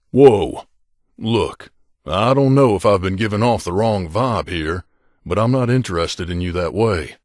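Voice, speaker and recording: A man's voice: fairly low pitch, no room sound, clean studio sound. A man playing an earnest, guarded wasteland drifter and loyal companion in a video game, speaking conversationally. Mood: apologetic, awkward. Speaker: voice actor.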